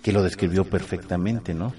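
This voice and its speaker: A man's voice: low-pitched, fairly even intonation, moderate pace, crowd noise. A man, co-hosting a casual chat and explaining with frequent questioning tags. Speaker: podcast co-host. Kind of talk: casual chat about the paranormal.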